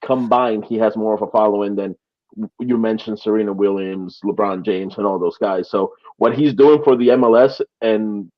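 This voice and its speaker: Man from Peru in halting sports chat. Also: fairly low pitch. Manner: halting, restarts often, laughs between points. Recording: slightly dull lo-fi audio.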